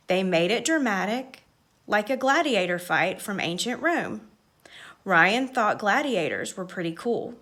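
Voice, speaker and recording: A woman reading a children's story aloud with expressive, measured, dramatic narration. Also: mid-range voice.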